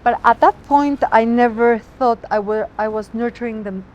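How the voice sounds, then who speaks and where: A woman's voice: fairly high pitch, moderate pace, a little mellow, muffled recording, slight background noise. A woman with a Mexican accent, native Spanish speaker, a chef talking expressively outdoors and sometimes searching for words. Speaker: chef, guest. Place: outdoors on a city street.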